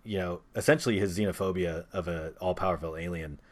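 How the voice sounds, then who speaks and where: Deep-voiced man hosting a casual podcast. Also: fairly even intonation, fast. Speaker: podcast host. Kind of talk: casual movie discussion podcast.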